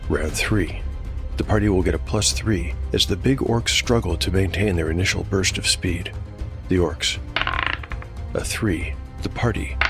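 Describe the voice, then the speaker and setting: A man with a deep voice narrates as host and game master of a fantasy audio drama. His delivery is scripted storytelling, set over a clear music bed.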